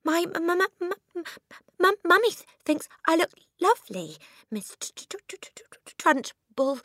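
A woman's voice: very high-pitched, very animated, moderate pace, bright, forceful tone, no echo, studio quality, pitched above the performer's own voice, exaggerated voice. A woman voicing a terrified ten-year-old girl with a heavy stutter. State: frightened.

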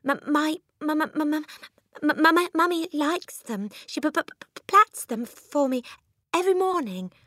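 A woman's voice: high-pitched, moderate pace, fairly bright tone, dry recording, studio quality, pitched above the performer's own voice. A woman voicing a terrified ten-year-old girl with a heavy stutter. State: frightened.

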